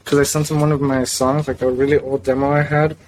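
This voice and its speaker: A man's voice: mid-range voice, flat delivery, quick pace, a little mellow, poor audio. A young man from South Florida chats as a podcast guest. He sounds laid-back and a little hesitant, with a mid-range voice and blunt opinions. A faint hiss sits under his voice. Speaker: guitarist and singer, guest. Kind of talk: casual interview chat.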